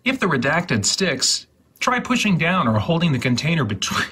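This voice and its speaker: A man reading instructions aloud for comedy in a deliberate, over-enunciated way. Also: a little high, sing-song, pushed and bright, slightly rough. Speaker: host of a hobby video channel.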